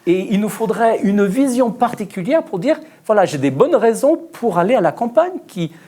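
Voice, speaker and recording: A middle-aged man from Portugal talks through a Bible study question. He speaks thoughtfully, pausing often and searching for his words. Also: high voice, sing-song, mellow. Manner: thoughtful, many pauses and fillers. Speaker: discussion participant.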